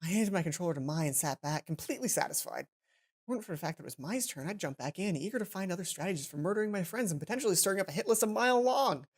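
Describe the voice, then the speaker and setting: A woman's voice: fairly low pitch, lively intonation, racing, slightly rough. A woman let's play host reading visual novel text aloud with casual asides, sometimes stumbling and correcting herself. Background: game music.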